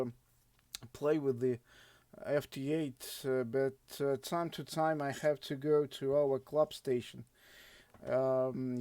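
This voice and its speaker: A man with a Russian accent chatting unscripted as an amateur radio operator, speaking in a halting, hesitant way. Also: medium pitch, dark tone, clean tone, no echo. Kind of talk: unscripted radio contact chat.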